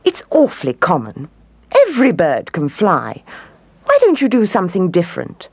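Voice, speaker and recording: A woman's voice: fairly low pitch, huge pitch swings, normal speed, a little mellow, breathy edge, dry recording, voice pushed up, full character voice. A woman voicing a crafty, haughty queen kangaroo in a children's story, scornful and coaxing.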